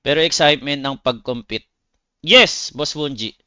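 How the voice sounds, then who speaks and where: Bright, clear-voiced Filipino man streaming. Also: medium pitch, measured pace, dry recording, highs cut off. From Philippines. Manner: slangy, blunt, swearing, addresses listeners as buddies. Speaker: game streamer; competitive esports player. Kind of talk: casual livestream chat with viewers.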